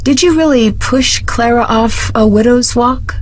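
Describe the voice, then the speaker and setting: An actress playing a plucky, level-headed young female detective in a video game, speaking clearly and earnestly. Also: fairly high pitch, unhurried, slight background noise, natural voice. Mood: curious, composed.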